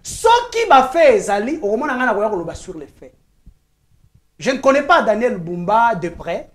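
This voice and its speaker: Congolese woman journalist, high lively voice, insistent debater. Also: measured pace. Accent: Congolese French. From Kinshasa, DR Congo. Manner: insistent, argues point by point, mixes in Lingala phrases. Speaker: journalist guest. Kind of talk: heated talk-show debate.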